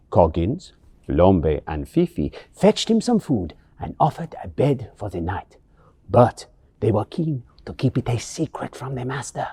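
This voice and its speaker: A man narrating a scripted bedtime story in a theatrical, expressive pantomime manner. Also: mid-range voice, slightly rough, dry recording.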